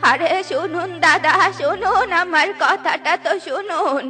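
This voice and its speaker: Cartoon beggar, played by a woman: female, very shrill pitch, insistent pleading, background music. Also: a little slow, bright, forceful tone, heavily acted. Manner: wheedling, insistent, repetitive. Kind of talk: Bengali comic cartoon dialogue. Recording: music bed behind the voice.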